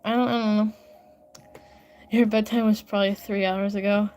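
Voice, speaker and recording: A woman's voice: medium pitch, flat delivery, moderate pace, a little mellow, faint music behind. A young woman chatting casually on a livestream at home, rambling, giggling and getting sidetracked while reading comments. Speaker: livestreaming artist. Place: home bedroom upstairs.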